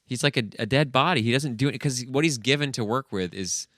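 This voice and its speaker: A man co-hosting a podcast in casual, analytical movie chat, halting as he thinks aloud. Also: medium pitch, a bit bright, dry recording, clean studio sound. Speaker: podcast co-host.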